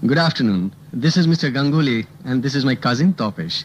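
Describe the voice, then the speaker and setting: Lead: a sharp, confident detective, crisp and dry, old hissy radio-play recording. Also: male voice, medium pitch, lively intonation, drawn-out words. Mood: polite. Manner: crisp, confident, dryly witty. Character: sharp, composed male private detective. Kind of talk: vintage radio drama acting.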